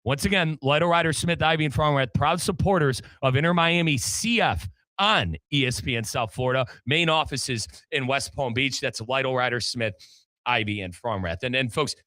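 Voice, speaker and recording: A man's voice: medium pitch, moderate pace, sharp tone, no room sound. A man hosting a sports talk show, chatty and emphatic, repeating points and addressing listeners directly between sponsor reads. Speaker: sports radio host and play-by-play announcer.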